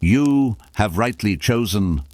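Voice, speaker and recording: A man voicing a solemn, benevolent God in a storybook, stately and measured. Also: fairly low pitch, expressive, darkish tone, no echo, voice pushed up. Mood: solemn, approving.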